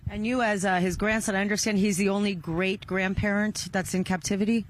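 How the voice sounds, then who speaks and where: Halting female TV interviewer outdoors, some background noise. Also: medium pitch, steady tone. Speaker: TV news interviewer. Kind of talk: live on-location news interview. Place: outdoors near a rural kibbutz. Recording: noisy outdoor field recording.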